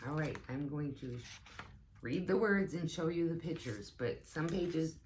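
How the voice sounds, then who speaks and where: Woman, low register, slow and expressive story-time reader for small kids, chatty asides. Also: a little hiss or hum. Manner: expressive, deliberate phrasing addressed to young children. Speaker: teaching assistant reading a picture book aloud. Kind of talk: children's story time read-aloud with asides.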